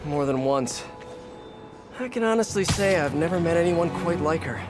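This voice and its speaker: A man's voice: fairly high pitch, expressive, moderate pace, over loud music, natural voice. An actor playing a wisecracking, eager young American male superhero in a video game, with a casual delivery. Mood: defensive, fond. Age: young adult.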